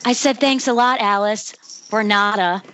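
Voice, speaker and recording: A woman's voice: medium pitch, normal speed, background noise, lightly acted. A woman playing an irritated, sarcastic young coworker in a film script table read. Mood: irritated sarcasm. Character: pissed-off, sarcastic young waitress friend. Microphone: Skype call. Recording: dull internet call.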